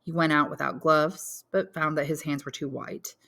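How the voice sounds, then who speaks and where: A woman's voice: low voice, moderate pace, creaky, a bit bright. A woman reading a literary story aloud as scripted audiobook narration. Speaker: audiobook narrator, English teacher.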